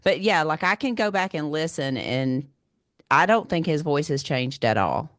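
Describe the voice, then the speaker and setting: A woman hosts a relaxed podcast conversation in a husky, worn voice she puts down to years of yelling at ball games. Her tone sits a bit low, and the close mic sounds dry with no room around it. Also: normal speed, no echo. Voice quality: husky, smoker-like voice. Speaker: podcast host. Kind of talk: casual chat.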